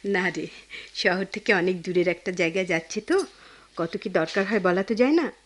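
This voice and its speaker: A woman with a Bangladeshi accent playing a tender, conflicted young woman in a radio drama, speaking haltingly and repeating words when moved. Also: mid-range voice, close to an everyday voice. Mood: casual, easygoing. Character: Keya, tender conflicted young woman haunted by lost love. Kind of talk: Bengali radio drama acting.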